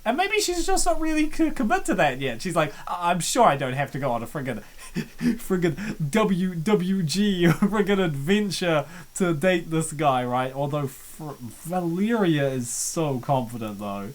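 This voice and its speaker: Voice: mid-range voice, big pitch swings, measured pace. Jokey, laughing let's-play commentator. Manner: jokey, laughing, loose and chatty.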